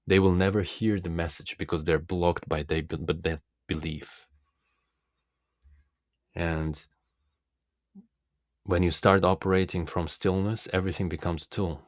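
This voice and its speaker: A man from Russia, a native Russian speaker, giving a reflective, philosophical self-help monologue in an earnest, deliberate manner as a coach. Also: low voice, no room sound, clean studio sound.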